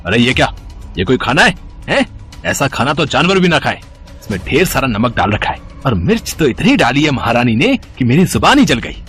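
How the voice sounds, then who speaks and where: Man playing a bullying, animated angry husband. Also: mid-range voice, brisk, soft background music. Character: cruel, greedy husband scolding his wife. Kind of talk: animated moral story acting.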